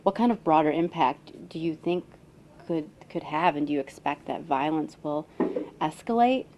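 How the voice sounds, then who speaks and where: A woman's voice: low-pitched, moderate pace, lo-fi sound, noisy background. An American woman hosting a news webcast, interviewing a guest about the news from a newsroom. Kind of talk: news interview with scripted intro.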